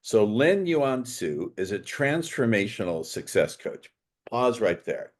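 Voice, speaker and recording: A man hosting a podcast interview, playful and chatty, with quick joking asides. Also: lowish voice, very animated. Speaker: podcast host.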